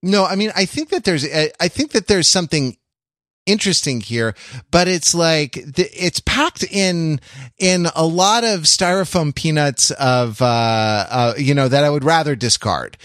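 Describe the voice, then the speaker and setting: Halting, wry man in dry close sound. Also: a little high, fairly bright tone, dry recording. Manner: wordy, halting, circling back mid-sentence. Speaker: podcast host. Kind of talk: casual analytical podcast chat.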